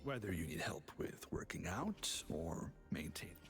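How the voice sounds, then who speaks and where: A man's voice: low-pitched, big pitch swings, a little slow, creaky, a bit bright, soft background music, full character voice. An actor voicing a helpful male character in a video game.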